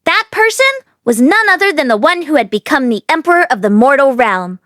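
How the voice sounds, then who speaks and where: High-voiced woman narrating a story. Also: animated, a little fast, fairly bright tone, dry recording, studio quality. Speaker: audiobook read-along narrator.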